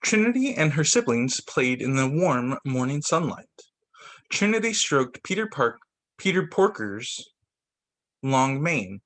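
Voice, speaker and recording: A man reading a children's picture book aloud like a teacher at story time, expressive, with the odd stumble. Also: medium pitch, measured pace. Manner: expressive, voices the dialogue with slight shifts; stumbles and corrects himself while reading.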